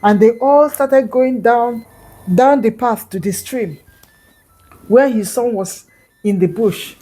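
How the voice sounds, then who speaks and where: Storyteller, female, Nigerian accent, higher-pitched voice, conversational folktale delivery with explanatory asides. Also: takes it slowly, dark tone, low-quality recording. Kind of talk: oral folktale narration.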